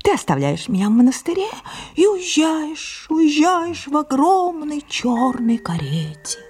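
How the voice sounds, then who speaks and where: A woman playing sulky little girl, high and breathy. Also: very animated, unhurried, a little mellow, faint music behind. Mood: tearful, fearful. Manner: theatrical, breaking into breathy whispers. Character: the actress as a mischievous, sulky, tearful young girl. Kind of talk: audio drama stage acting.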